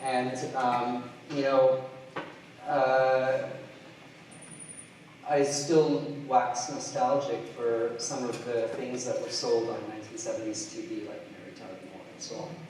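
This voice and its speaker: A middle-aged man from Trinidad and Tobago giving a conference keynote, halting often with fillers and restarts. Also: mid-range voice, level tone, darkish tone, a little hiss or hum. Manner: hesitant, many fillers and restarts. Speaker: keynote speaker. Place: large lecture hall. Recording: distant mic in an echoey hall.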